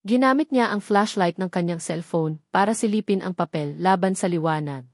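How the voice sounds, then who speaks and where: A woman's voice: fairly low pitch, normal speed, dry recording, studio quality. A woman with a Filipino accent narrating a dramatic story in the first person.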